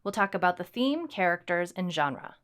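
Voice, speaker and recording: A woman's voice: fairly low pitch, animated, normal speed, fairly bright tone, clear, resonant tone. A woman co-hosting a podcast in a casual, chatty style. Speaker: podcast co-host. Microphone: podcast microphone on a boom arm.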